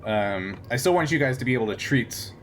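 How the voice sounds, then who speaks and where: A man acting as game master, explaining tabletop game rules off the cuff in a halting, explanatory way. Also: mid-range voice, animated, fairly bright tone, a little hiss or hum.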